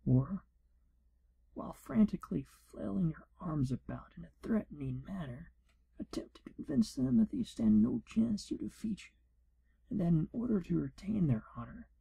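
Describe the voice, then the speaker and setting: A man as a hushed, gravelly wizard proctor. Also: high-pitched, very animated, heavily acted, rough recording. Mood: formal, theatrical. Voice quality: hushed, breathy, husky. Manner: formal, deliberate, theatrical. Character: pompous, fussy, exasperated wizard exam proctor, male. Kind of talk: whispered ASMR role-play.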